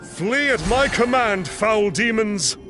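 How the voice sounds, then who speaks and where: An actor playing a theatrical, grandiose self-styled exorcist in a video game, declaiming dramatically. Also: male voice, sits high, lively intonation, slow pace. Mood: commanding, desperate. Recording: music playing behind the voice. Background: game music.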